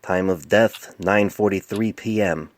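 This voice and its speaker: A man's voice: on the low side, a little slow, lightly acted. Man playing a sardonic young pony doctor. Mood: deadpan. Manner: sardonic, indignant. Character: weary, sarcastic young male pony resident doctor. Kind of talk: amateur fan fiction audio reading.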